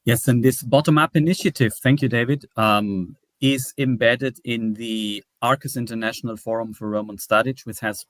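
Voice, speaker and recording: A man lecturing in an academic webinar, explaining slides in a halting, step-by-step way. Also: fairly low pitch, a bit bright, dry recording. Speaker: academic presenter.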